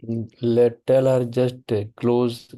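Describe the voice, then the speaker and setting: A man speaking as a spiritual teacher, giving blessings and short greetings in simple, halting non-native English. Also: mid-range voice, completely flat delivery, mellow.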